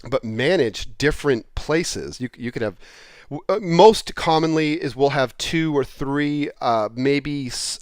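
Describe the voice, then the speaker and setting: A man presenting software licensing in an informal, conversational way, explaining as he goes and rambling into asides. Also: mid-range voice, no room sound, clean studio sound. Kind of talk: informal product walkthrough.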